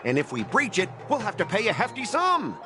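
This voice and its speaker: An arrogant corporate manager from a video game. His voice sits high and swings widely as he blusters and talks down to people, with music playing underneath. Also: huge pitch swings, brisk, sharp tone, exaggerated voice. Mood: smug, condescending. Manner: condescending, blustering. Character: arrogant, hot-tempered corporate transport manager, bullying and pompous.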